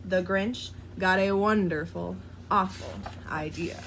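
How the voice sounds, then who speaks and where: A woman's voice: lowish voice, takes it slowly, slight background noise. Woman reading a rhyming storybook aloud. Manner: expressive, sing-song rhyming delivery. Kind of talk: reading a children's book aloud.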